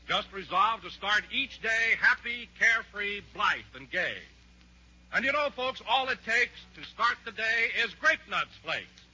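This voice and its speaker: Male American announcer, folksy and cheery 1940s sales pitch, piercing and slightly rough, lo-fi broadcast sound. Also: sharp tone. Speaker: radio announcer. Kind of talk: 1940s radio commercial pitch. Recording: dull, muffled 1940s radio recording.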